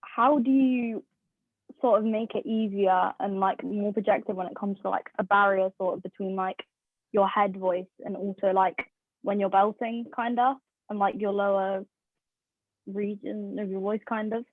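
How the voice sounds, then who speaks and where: A young woman asking a question, halting and filled with likes, over thin call audio. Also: mid-range voice, fairly even intonation, dry recording, phone-quality sound. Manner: halting, filler-heavy, says like a lot.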